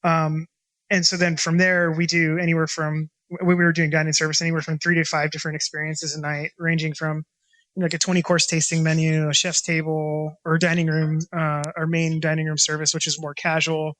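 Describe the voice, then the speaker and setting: A chef and restaurant owner from Washington State, talking casually in an interview and rambling with lots of fillers and restarts. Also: male voice, fairly high pitch, monotone, brisk, fairly bright tone, low-quality recording. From Washington State, USA.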